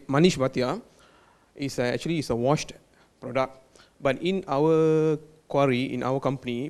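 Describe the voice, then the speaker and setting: A man with a Malaysian accent speaks in a fairly high voice, presenting technical details at a product launch. He pauses often with fillers and restarts, slipping in the odd Malay word. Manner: hesitant, many fillers and restarts; mixes in Malay words. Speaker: quality control manager.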